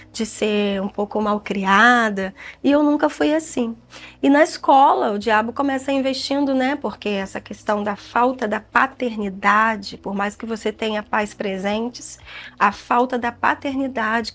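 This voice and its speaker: A woman in her forties with a Brazilian Portuguese accent, a gospel singer sharing her testimony in an earnest, reflective way. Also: a little high. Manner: soft-spoken, earnest, reflective. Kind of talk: personal testimony in an interview.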